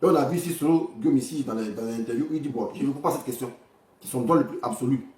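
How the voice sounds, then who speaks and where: A man's voice: medium pitch, fast, darkish tone. Echoey, rambling Ivorian man livestreaming. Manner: rambling, repetitive, blunt and crude. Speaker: livestream host. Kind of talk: informal livestream political commentary. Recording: echoey room, distant from the mic.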